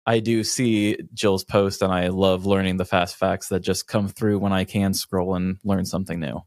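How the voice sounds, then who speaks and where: A man's voice: low voice, level tone, normal speed, darkish tone, dry recording, studio quality. A man co-hosting a podcast, chatting casually in a self-deprecating, chatty way. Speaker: podcast co-host.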